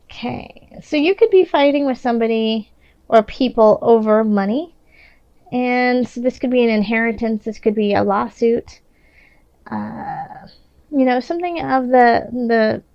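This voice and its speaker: A woman's voice: fairly high pitch, darkish tone, no room sound, highs cut off. A woman giving a casual, improvised tarot reading in a conversational, reassuring way, often restarting her thoughts. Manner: reassuring, encouraging. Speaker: tarot reader.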